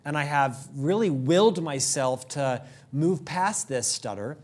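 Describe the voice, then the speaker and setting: Middle-aged male host, rather high voice, quick and brisk, slight stutter; leadership monologue. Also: lively intonation. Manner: fast, brisk and upbeat, with occasional stutter slips. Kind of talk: scripted monologue to camera.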